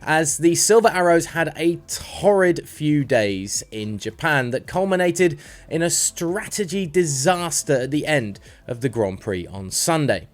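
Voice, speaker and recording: A male sports news presenter delivers scripted motorsport narration. His voice sits slightly higher than average for a man, and he reads as a presenter reporting the news. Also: expressive, unhurried.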